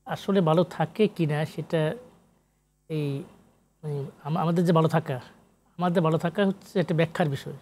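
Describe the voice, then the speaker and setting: Male guest on a literary talk show. High voice, emphatic, rhetorical, drops in English words. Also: steady tone, moderate pace, pauses often, darkish tone, slight background noise. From Comilla, Bangladesh. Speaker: guest poet and researcher. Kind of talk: interview talk.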